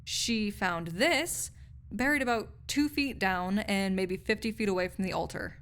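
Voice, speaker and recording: A snarky, nerdy female research assistant, played by a woman in an intimate audio roleplay. She has a medium-pitched voice and explains things articulately, with a cynical edge and casual, wry asides. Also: unhurried, fairly bright tone, natural voice. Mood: intrigued, explaining.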